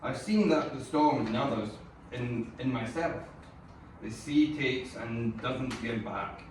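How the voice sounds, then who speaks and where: A man playing a weary, kindly friend in a screenplay table read, gentle and halting. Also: mid-range voice, lightly acted. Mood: weary concern. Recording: distant, echoey room with faint noise.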